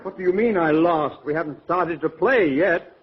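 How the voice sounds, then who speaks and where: A man's voice: a little high, moderate pace, a faint crowd, close to an everyday voice. An actor playing a hapless, fretful, wisecracking man in a golden-age radio comedy. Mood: exasperated. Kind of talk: 1940s-50s radio comedy acting. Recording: dull vintage radio, audience, faint noise.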